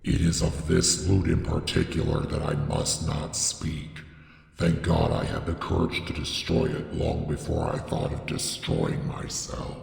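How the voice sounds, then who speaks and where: Man, extremely low pitch, solemn theatrical horror narration, heavy gravel, deliberate phrasing. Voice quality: very deep, gravelly. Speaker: narrator reading a horror story. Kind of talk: dramatic scripted narration of gothic horror. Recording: echoey with long reverb.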